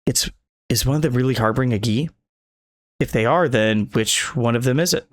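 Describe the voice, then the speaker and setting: A man's voice: mid-range voice, fairly quick. A man reading a visual novel's dialogue and narration aloud for a let's play video, sometimes tripping over lines and correcting himself.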